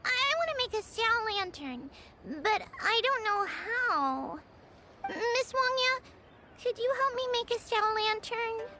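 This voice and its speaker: A woman's voice: extremely high pitch, animated, slow pace, noisy background, full character voice. A woman voicing a small, polite, hesitant young girl in a video game. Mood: shy, pleading. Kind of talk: video game character acting. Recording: game music behind.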